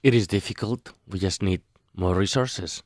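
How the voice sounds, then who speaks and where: A man with a deep voice answers questions in a plain, measured way. The recording is dry and close. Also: no room sound.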